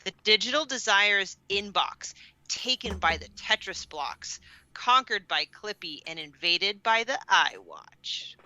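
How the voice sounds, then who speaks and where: A woman's voice: mid-range voice, very animated, slow, pushed and bright, slight background noise, rough recording. A woman co-hosting a podcast in casual chat, with a self-deprecating, exclamatory way of talking. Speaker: podcast co-host.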